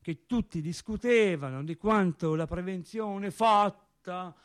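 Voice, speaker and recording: A man's voice: sits high, slow. A man with a Tuscan accent playing an embittered, rambling factory worker in a theatrical monologue, correcting himself and repeating phrases as he goes. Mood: simmering anger. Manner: digressive, self-correcting, repeating phrases for emphasis. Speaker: actor in a stage monologue for radio theatre. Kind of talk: theatrical monologue, colloquial storytelling.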